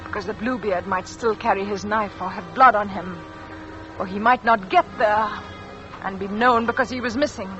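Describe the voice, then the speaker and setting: Actress as a haunted wife in old radio drama. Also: female voice, mid-range voice, close to an everyday voice. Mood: haunted, dreading. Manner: hushed, dramatic, halting and anguished. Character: frightened, haunted French village wife recounting her suspicions. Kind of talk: 1940s-50s radio drama acting, confessional narration. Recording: dull old radio recording, music underneath.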